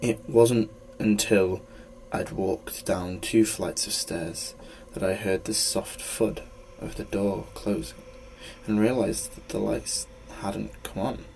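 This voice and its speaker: Guy reading a horror story, lowish voice, slight background noise. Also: fairly even intonation, slow, creaky, a little mellow, low-quality recording. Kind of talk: scripted first-person story narration. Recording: faint background noise, amateur recording.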